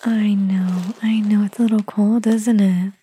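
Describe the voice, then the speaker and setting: A woman speaking in a soft, soothing motherly whisper for an ASMR roleplay. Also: mid-range voice, steady tone, unhurried, slightly rough.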